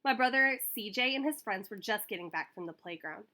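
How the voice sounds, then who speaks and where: A woman reading a children's picture book aloud in an expressive, animated way that acts out the story. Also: mid-range voice, a little fast. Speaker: school staff member reading aloud.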